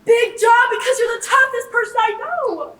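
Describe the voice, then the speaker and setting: A woman's voice: very high-pitched, normal speed, fairly bright tone. A young woman playing a dry, cajoling heist crew leader in a student stage comedy. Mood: persuasive. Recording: echoey stage, distant mic, faint noise.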